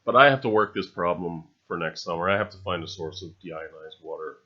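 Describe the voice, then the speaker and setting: A man with a fairly low voice talks through an unscripted voice-over. He muses haltingly, trailing through his phrases with understated, dry humor. The recording is a little dull and lo-fi. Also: bright, forceful tone. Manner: halting, musing delivery with long trailing phrases. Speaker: video narrator. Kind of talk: unscripted, rambling voice-over monologue. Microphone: separately recorded voice-over.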